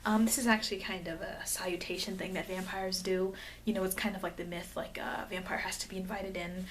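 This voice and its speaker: A woman's voice: mid-range voice, flat delivery, a little fast, lightly acted. A woman playing a friendly, flustered, eager vampire girl in an ASMR roleplay, speaking in an awkward, hesitant, rambling way. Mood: flustered, surprised. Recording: noisy amateur microphone, background hiss.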